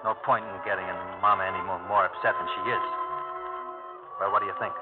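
A man's voice: sits low, steady tone, fast talker, lightly acted. An actor playing a shrewd, scheming tough man with a clipped, hard-boiled delivery in old radio drama. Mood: scheming, urgent. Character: shrewd, scheming tough son, smooth with women. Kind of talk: 1940s-50s radio drama acting. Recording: tinny old radio broadcast, music behind.

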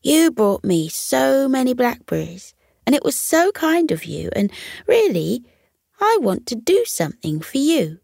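A woman's voice: high-pitched, sing-song, a little slow, gravelly, a little mellow, no room sound, studio quality, voice pushed up, full character voice. A woman voicing a kind, earnest male fox who makes tentative, coaxing offers in a children's bedtime story. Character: eager, kind male fox with a big idea.

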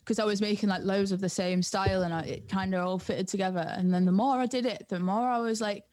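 A young woman with a North East English accent chatting casually as a music producer, informal and halting, often saying "like". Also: mid-range voice, steady tone, darkish tone, no room sound. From North East England. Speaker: music producer and artist, interview guest. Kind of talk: casual chat.

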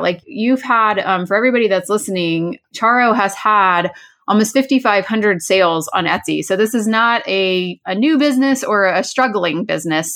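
A woman's voice: medium pitch, fairly bright tone. A woman hosting a podcast, asking a guest questions in a friendly, encouraging way. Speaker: podcast host, interviewer. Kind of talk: casual interview questions.

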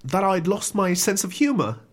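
A self-doubting famous comedian in an audio comedy. He is anxious and sheepish, hesitating as he speaks. His fairly high voice moves up and down in a lively way. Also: moderate pace. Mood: dejected. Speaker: actor in an audio comedy drama. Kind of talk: scripted comedy audio drama dialogue.

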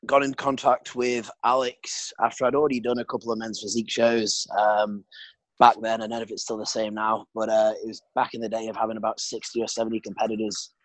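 A man's voice: fairly low pitch, flat delivery, quick pace, no room sound, rough recording. A British man in his twenties, a podcast guest chatting casually, laughing often and swearing freely. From Britain. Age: 20s. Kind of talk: casual interview chat.

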